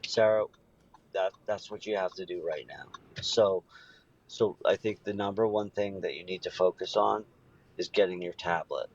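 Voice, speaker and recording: A man on a phone call, calmly reassuring a friend and hedging with many fillers. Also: sits low, moderate pace. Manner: calm, reassuring, hesitant with many "like"s. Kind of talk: phone conversation. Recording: dull phone line with faint hiss.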